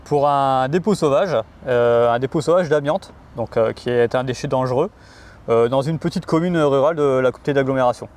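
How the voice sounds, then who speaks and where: A man working as a rural police officer, explaining his job in an interview with frequent pauses and repeated words. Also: mid-range voice, darkish tone. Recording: outdoor urban noise, vehicles behind.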